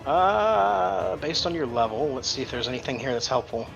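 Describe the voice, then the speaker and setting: A man's voice: fairly high pitch, lively intonation, normal speed, vocal fry, a bit bright, highs cut off. A man working as game master, talking casually and profanely through rules and narrating the action. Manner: colloquial, profane. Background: background music.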